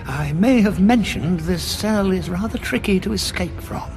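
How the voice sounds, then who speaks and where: An actor playing a wry, questioning Time Lord on the run, understated and wary, in an audio drama. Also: male voice, high voice, animated, moderate pace, darkish tone, soft background music.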